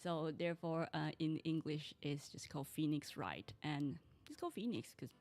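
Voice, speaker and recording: Low gravelly woman streaming a game. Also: normal speed, darkish tone. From Taiwan. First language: Mandarin. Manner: chatty and rambling, often restarting sentences. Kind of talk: casual game stream chat.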